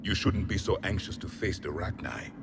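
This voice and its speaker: Haughty alien from an ancient people, for a sci-fi game. The voice is low and measured, issuing judgments with cold contempt. Clear background ambience surrounds it. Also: male voice, expressive, background noise. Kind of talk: video game character acting.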